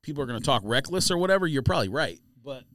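A man's voice: mid-range voice, big pitch swings, rapid-fire, fairly bright tone, no echo, studio quality. A man co-hosting a casual sports podcast, arguing his opinions in slangy everyday talk. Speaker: podcast co-host.

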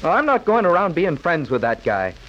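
An actor playing a sulky, stubborn American schoolboy bully, grudging and emphatic, in 1940s radio drama style. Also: male voice, a little high, huge pitch swings, moderate pace, a bit bright. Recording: dull, crackly 1940s radio recording.

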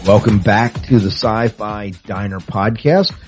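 A man's voice: on the low side, unhurried, music in the background. A man hosting a podcast in a casual, conversational interview style. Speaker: podcast host.

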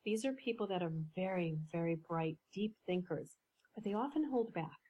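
A woman coach, a podcast guest, explaining ideas in a measured way with some fillers. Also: mid-range voice, expressive, phone-quality sound. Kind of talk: podcast interview.

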